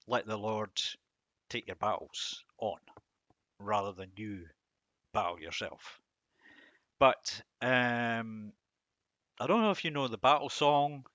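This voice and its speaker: A man giving an informal devotional commentary on verses, musing and halting, with self-deprecating asides. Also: on the low side, a bit bright, no room sound, dull audio. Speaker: host of a Bible reading series.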